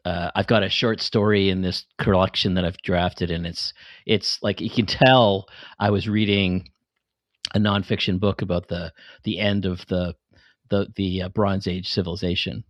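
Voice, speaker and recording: A man's voice: low voice, steady tone, moderate pace, dry recording, highs cut off. A man, middle-aged, talking casually on a podcast and explaining things in an enthusiastic, rambling way. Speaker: podcast host and fiction writer.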